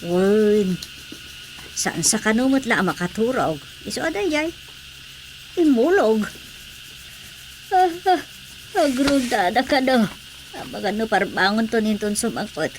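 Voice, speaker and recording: A woman's voice: fairly high pitch, animated, measured pace, long pauses. Practical older Ilocano mother-in-law, noisy backdrop. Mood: coaxing. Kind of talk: Filipino radio drama acting.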